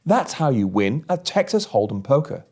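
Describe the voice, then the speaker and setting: A man's voice: mid-range voice, very animated, normal speed, a little mellow. A man voicing a pushy, overconfident male tech lead in a storybook, with a breezy know-it-all manner.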